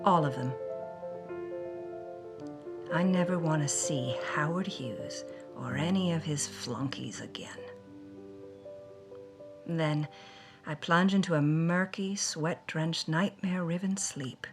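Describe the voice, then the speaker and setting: A woman's voice: low-pitched, slow, pauses often. A woman playing a defiant, wounded 1950s film starlet, telling her story in the first person with intense, theatrical emotion for an audio drama. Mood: despairing, weary. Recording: music behind the voice. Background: music.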